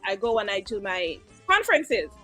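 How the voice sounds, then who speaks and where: A woman's voice: medium pitch, sing-song, normal speed, bright, forceful tone, faint music behind. A Jamaican woman hosting a casual live-stream interview, laughing often as she talks. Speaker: host.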